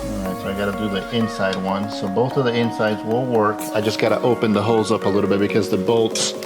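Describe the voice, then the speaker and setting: Male DIY host, lowish voice, informal hands-on narration, thinking aloud while working, music behind him. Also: level tone, quick pace. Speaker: host of a car modification tutorial.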